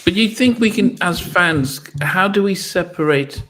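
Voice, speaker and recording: A man's voice: sits high, animated, unhurried, fairly bright tone. A man hosting a podcast interview, putting questions casually and searching for his words as he goes. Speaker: podcast host.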